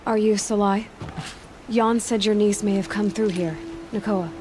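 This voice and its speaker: A woman's voice: mid-range voice, fairly even intonation, a little slow, noisy background, natural voice. Plain-spoken young huntress played by a woman. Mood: earnest. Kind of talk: video game dialogue acting.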